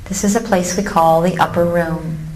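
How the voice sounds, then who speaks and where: A woman leading a guided meditation in a calm, gently instructive way with long pauses, recorded live in a room. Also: on the low side. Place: room with a seated live audience. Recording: roomy live recording with faint noise.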